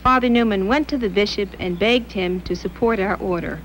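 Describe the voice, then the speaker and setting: An actress playing an earnest, devout nun and teacher, speaking plainly in an acted interview. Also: female voice, medium pitch, brisk, a bit bright, a little hiss or hum, close to an everyday voice, poor audio. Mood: earnest, grateful. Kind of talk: acted documentary-style interview.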